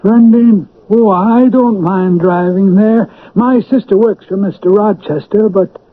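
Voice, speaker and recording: High-voiced gossipy country innkeeper. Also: male voice, fairly even intonation, unhurried. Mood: doubtful, obliging. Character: garrulous, kindly country innkeeper and driver, gossipy and hesitant. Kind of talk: 1970s-80s radio drama acting. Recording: narrow, tinny old radio broadcast.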